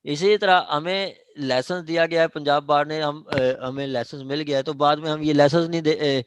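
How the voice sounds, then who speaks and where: A man's voice: on the high side, fairly even intonation, moderate pace, a little hiss or hum. A man with a Pakistani accent lecturing on law online, explaining with examples and frequent check-in tags, mixing in English legal terms.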